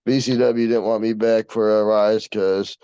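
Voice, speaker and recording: A man's voice: fairly low pitch, little pitch movement, measured pace, mellow, smooth. A young American man chatting casually as a podcast co-host, with a brash, slangy, sweary way of talking. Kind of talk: casual chat. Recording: dull, roomy lo-fi sound.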